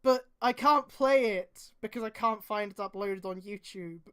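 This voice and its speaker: A woman's voice: mid-range voice, moderate pace, a bit bright, smooth. A woman streamer reading aloud and adding exasperated, sweary mocking commentary.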